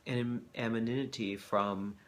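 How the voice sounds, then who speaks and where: A man giving thoughtful, halting commentary as the host of a reaction video. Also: mid-range voice, fairly even intonation, a bit bright. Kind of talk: reflective commentary.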